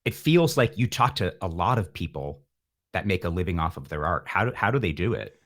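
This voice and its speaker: A man speaking casually as an interview guest, with an animated, emphatic and playful way of talking. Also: low-pitched, brisk. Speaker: podcast guest, musician.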